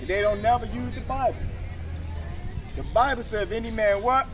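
A man's voice: very high-pitched, steady tone, moderate pace, faint music behind, a faint crowd. An American man preaching on the street in a confrontational, argumentative way, insisting on scripture and calling for readings. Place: outdoor waterfront brick plaza. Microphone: camera a few meters away.

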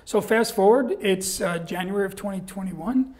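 An older man with a New York accent, a guest telling stories in a casual interview, animated and laughing often, acting out quoted voices. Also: high-pitched, normal speed. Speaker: guest, guitar company rep. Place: small studio set with backdrop.

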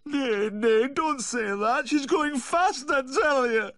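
A man's voice: high-pitched, moderate pace, a bit bright, no echo, studio quality, voice pushed up. A man voicing a maudlin, panicky, drunken Yorkshire dog owner in a storybook, with a tearful wail.

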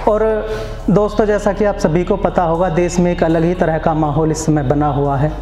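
Young male open mic poet, high-pitched and declamatory, echoey room with faint music. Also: fairly even intonation, moderate pace. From Uttar Pradesh, India. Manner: declamatory, repeats lines for emphasis. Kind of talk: open mic poetry recitation. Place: small indoor open mic venue. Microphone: stand mic.

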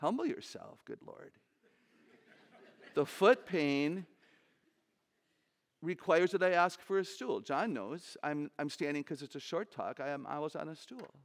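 Older lady with a really low voice telling funny stories at a church conference, big stage. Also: measured pace, pauses often. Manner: animated, humorous storytelling. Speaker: conference speaker. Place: large stage.

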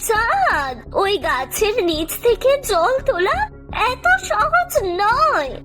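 A woman voicing a caring, knowing parrot guide in a cartoon, speaking in an emphatic, coaxing, drawn-out way. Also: very high-pitched, huge pitch swings, pitched above the performer's own voice, full character voice. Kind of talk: cartoon voice acting. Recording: music under the voice.

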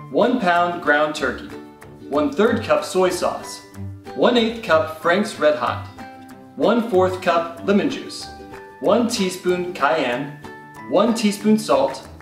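Easygoing man walking through a recipe. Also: on the high side. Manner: easygoing, jokey. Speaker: cooking show co-host. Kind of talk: recipe demonstration and chat. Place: home kitchen set, indoors. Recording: roomy, distant sound with background music.

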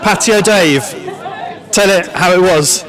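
A man with a high-pitched voice hosts a casual vlog outdoors. He banters as he interviews people, with light chatter around him. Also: slow pace, poor audio. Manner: jokey, bantering. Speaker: vlog host interviewing club sailors. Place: outdoor covered deck at a sailing club. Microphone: handheld interview microphone.

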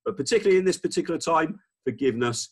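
A man's voice: fairly high pitch, animated, rapid-fire, husky. A man in his forties, a head teacher, opening a school assembly over a video call in an upbeat, teacherly way. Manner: warm, upbeat, teacherly, some hesitations. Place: school office, indoors. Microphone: computer webcam on video call.

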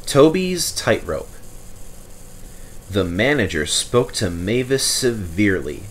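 A man reading a children's story aloud in expressive, scripted narration. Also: fairly low pitch, slow pace. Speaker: storybook reader.

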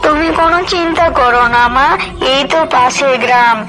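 A woman's voice: sits high, moderate pace, over music, natural voice, rough recording. A woman voicing an innocent, earnest young village daughter in a fairy-tale cartoon. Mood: reassuring.

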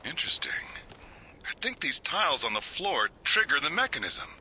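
A curious, alert squad member in a game. His highish voice is very bright and clipped, over faint ambience. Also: big pitch swings, moderate pace, a little hiss or hum, full character voice. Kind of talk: video game military acting.

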